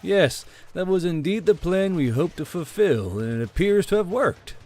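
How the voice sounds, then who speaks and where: A man's voice: high voice, lively intonation, moderate pace, darkish tone, voice pushed up. A man voicing a proud, theatrical fire sprite colonel with a formal, amused manner in a fantasy war audiobook.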